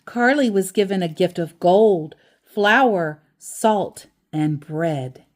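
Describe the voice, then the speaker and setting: A woman reading a children's book aloud as a storyteller, deliberately, pausing between short phrases and adding casual asides. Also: lowish voice, animated.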